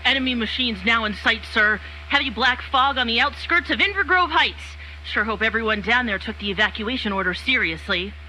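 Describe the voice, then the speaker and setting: A woman's voice: on the high side, expressive, fairly quick, few pauses, bright, forceful tone, a little hiss or hum, rough recording. A woman playing an earnest, deferential rookie bomber airman in a comedic radio drama, giving clipped military reports.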